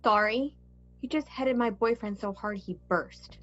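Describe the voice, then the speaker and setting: A woman's voice: mid-range voice, unhurried, fairly bright tone. A woman playing a haughty, scornful young rock-star ex in a table read, on dull lo-fi audio. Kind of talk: amateur screenplay table read.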